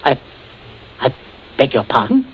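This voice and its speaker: An actor playing a genteel, pompous middle-aged patriarch in a 1940s radio drama, stammering when flustered. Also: male voice, mid-range voice, huge pitch swings, measured pace, muffled recording. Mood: nervous, formal. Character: pompous British family patriarch, smoothly covering up a murder. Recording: hissy 1940s radio recording.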